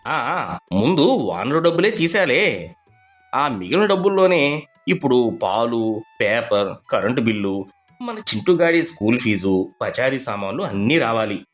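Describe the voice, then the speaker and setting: A man acts out a stern, money-minded husband from an animated village morality tale. His mid-range voice swings expressively through each line. Faint music plays behind him. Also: medium pitch, measured pace. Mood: bossy. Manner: scolding, questioning. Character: stern, tight-fisted middle-class husband, bank clerk, belittling his wife. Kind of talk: Telugu animated moral story acting.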